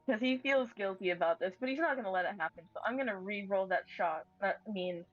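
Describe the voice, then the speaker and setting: A woman's voice: medium pitch, fairly quick. A woman playing a tabletop roleplaying game, chatting casually in a hesitant, questioning way. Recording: dull, dry audio with faint music. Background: faint music.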